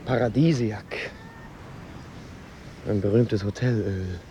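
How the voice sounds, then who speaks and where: An actor playing a smooth-talking, mysterious hotel masseur in a radio drama, suave, deliberate and flirtatious. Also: male voice, lowish voice, dark tone, slight background noise. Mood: flirtatious, soothing. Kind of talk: intimate radio drama acting. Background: music under the voice.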